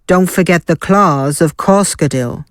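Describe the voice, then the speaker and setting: A woman's voice: lowish voice, measured pace, dry recording, clean studio sound, lightly acted. A woman playing an assured, wry guild fighter in a fantasy audio drama. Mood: confident. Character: Ilaeda, confident wry female guild fighter. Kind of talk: scripted audio drama acting.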